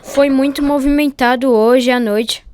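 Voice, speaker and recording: A woman's voice: sits high, steady tone, a little slow, darkish tone, clear, resonant tone. A woman playing an earnest boy, a dutiful son, in a radio drama. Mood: matter-of-fact.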